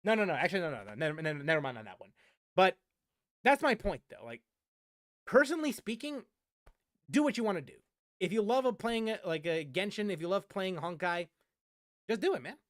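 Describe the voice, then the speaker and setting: A man's voice: sits high, very animated, a little fast, pauses often, no room sound, clean studio sound. A man streaming casual game chat, rambling and often repeating his phrases for emphasis. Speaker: gaming streamer answering viewers. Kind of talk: casual livestream chat about games.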